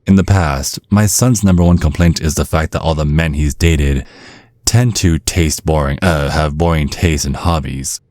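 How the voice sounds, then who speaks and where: A man's voice: rumbling low, normal speed, darkish tone, no room sound, clean studio sound. A man playing a centuries-old vampire father in an ASMR roleplay, protective and slyly polite, teasing with veiled threats. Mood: insinuating threat. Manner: mock-courteous, insinuating, teasing with veiled threats.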